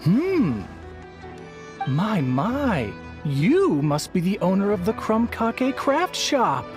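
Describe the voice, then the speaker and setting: A slow-talking, animated female merchant, smug and shrewd. She drawls and coaxes in a video game scene, with music clearly underneath. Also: mid-range voice. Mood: smug, coaxing. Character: shrewd, smug businesswoman, coolly coaxing.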